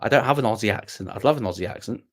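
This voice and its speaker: A man's voice: lowish voice, racing. A young man hosting a casual livestream, rambling and self-deprecating as he answers viewers with plenty of ums and restarts. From Wales. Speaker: livestream host.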